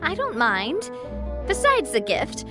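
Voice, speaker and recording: A woman's voice: high-pitched, huge pitch swings, slow pace, loud music behind the voice, full character voice. A woman playing an upbeat young female guild boss, protective of her people, in a video game. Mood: cheerful, reassuring. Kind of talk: English game voice acting.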